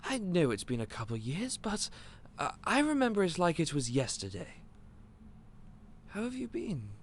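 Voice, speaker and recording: An actor playing a gentle, clumsy candy shop owner who stammers and speaks in a polite, old-fashioned way. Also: male voice, fairly high pitch, huge pitch swings, moderate pace, slight background noise. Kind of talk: audio roleplay acting.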